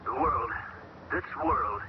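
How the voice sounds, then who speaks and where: An actor playing a man taken over by an alien mind, who talks calmly and measures his words in an old radio drama. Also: mid-range voice, natural voice. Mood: eerie. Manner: calm, measured, searching for words. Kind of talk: 1970s radio drama acting. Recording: dull old radio broadcast with loud hiss.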